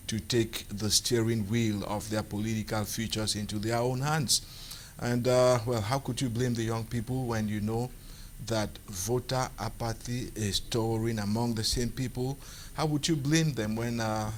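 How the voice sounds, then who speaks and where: A man hosting a studio talk show, with a husky voice and a conversational manner full of rhetorical questions. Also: mid-range voice, slight background noise. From Cameroon.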